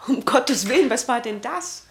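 An actress in a German radio drama playing an anxious young mistress, earnest and intense. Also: female voice, a little high, animated, normal speed, lightly acted. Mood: alarmed.

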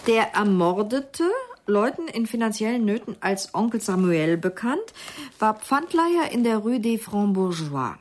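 Woman acting a female secretary, medium pitch, wry, brisk, matter-of-fact, crime radio drama. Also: lively intonation, darkish tone, slight background noise, close to an everyday voice. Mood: matter-of-fact reading. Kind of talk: radio play dialogue.

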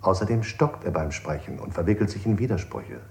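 A man's voice: low-pitched, animated, a little fast, a little mellow. An actor playing a coolly accusing interrogator in a radio play, formal and clinical as he lists his charges. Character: cold interrogator, male, coolly accusing, pseudo-logical. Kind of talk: avant-garde radio play acting. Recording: roomy, echoing studio sound.

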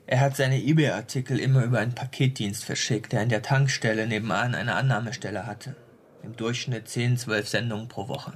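A man's voice: medium pitch, steady tone, a little fast, a little hiss or hum, close to an everyday voice. A man playing a plain-spoken police detective who reports facts without fuss in a radio crime drama. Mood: factual. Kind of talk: naturalistic German radio crime drama dialogue.

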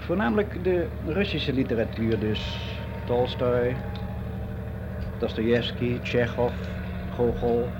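A man's voice: medium pitch, close to an everyday voice. Actor as lonely older man, noisy recording. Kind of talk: naturalistic radio drama monologue, confessional. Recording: very noisy radio play recording.

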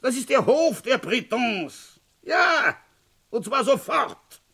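A world-weary village police commissioner in a radio crime play. His voice is husky and swings widely in pitch as he grumbles along conversationally. He sounds gruff and tired of his sleepy post. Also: big pitch swings, takes it slowly, pushed and bright, exaggerated voice. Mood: brisk, loud. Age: middle-aged. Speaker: narrator and lead character. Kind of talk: German radio crime play, first-person storytelling.

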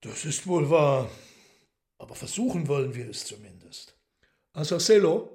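An actor playing Satan as an urbane, commanding gentleman in a radio drama, probing and ironic. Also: on the high side, very animated, moderate pace.

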